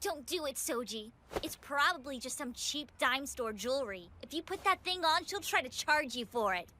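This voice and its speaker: A woman's voice: high voice, lively intonation, moderate pace, bright, forceful tone, slight background noise. A woman playing a feisty, suspicious, hot-tempered teenage girl in an English anime dub, sarcastic and scolding.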